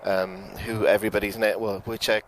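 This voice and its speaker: A man's voice: on the low side, fairly quick, slight background noise. Chatty British man commentating outdoors. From United Kingdom. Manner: relaxed and chatty, with frequent ums and self-corrections. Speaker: live plane-spotting commentator. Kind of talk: live-stream commentary, reading out viewer chat. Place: outdoors at an airport at night.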